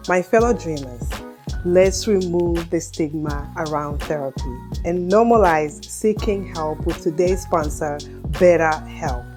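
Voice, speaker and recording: Low-voiced woman reading an ad over music. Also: measured pace. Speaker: podcast host reading a sponsor ad. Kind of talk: scripted ad read.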